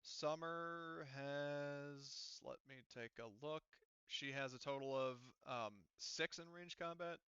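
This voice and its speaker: A man's voice: a little high, slow, few pauses, a bit bright, clean tone, dry recording, highs cut off. A man running a tabletop game online, casually explaining and correcting himself as he goes. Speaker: game master. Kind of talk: casual tabletop game session over voice chat. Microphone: close computer mic, online voice call.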